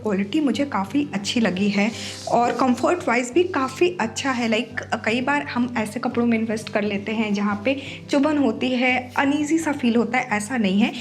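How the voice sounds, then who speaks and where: Young woman, high voice, slightly sore throat, chatty fashion vlogger, faint music underneath. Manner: chatty, mixes English into Hindi. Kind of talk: casual haul review to camera.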